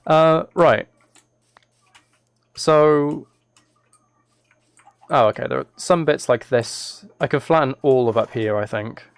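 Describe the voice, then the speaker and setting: Guy live streaming a game, mid pitched voice, just rambling and thinking out loud, kinda hesitant. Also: slow, gravelly, long pauses, no echo. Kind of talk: casual live stream chat while gaming.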